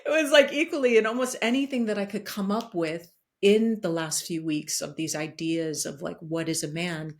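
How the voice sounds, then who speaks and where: A woman with a lowish voice talks in a relaxed interview. She muses aloud, circling and restarting her thoughts with fillers as she searches for the right words. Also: moderate pace. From Guatemalan and Danish roots, partly raised in the United States. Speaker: podcast guest, facilitator. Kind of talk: reflective conversational talk.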